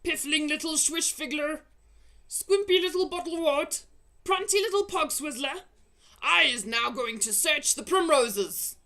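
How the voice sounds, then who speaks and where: A woman's voice: high-pitched, unhurried, sharp tone, voice pushed up. A woman voicing a huge, bullying man-eating giant in a children's storybook, bellowing insults in broken, nonsense-word grammar.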